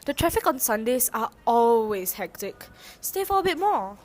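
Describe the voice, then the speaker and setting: A woman's voice: fairly high pitch, very animated, darkish tone. A woman playing an obsessive, eerily polite male host, a grieving maniac, in an amateur radio drama. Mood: eerily polite, insistent. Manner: insistent, deliberate, menacing politeness.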